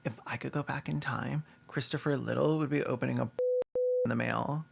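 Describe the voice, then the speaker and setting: A man's voice: mid-range voice, fairly even intonation, brisk, gravelly, over loud music. A man narrating scripted comedic commentary in a wry, sarcastic manner. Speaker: video essay narrator.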